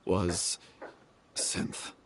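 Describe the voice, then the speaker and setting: An actor playing a guilt-ridden young member of a theater troupe, husky and emotional in a dramatic video game confession. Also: male voice, very slow, background noise. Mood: regretful, explaining. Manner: emotional, self-reproaching.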